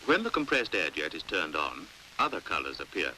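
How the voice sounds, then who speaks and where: A British man reads scripted 1950s educational film narration with careful precision. His voice is fairly low yet very bright and forceful, with lively rises and falls as he explains. The old film soundtrack carries a faint hiss. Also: low-quality recording. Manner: measured, precise, didactic. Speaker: documentary narrator.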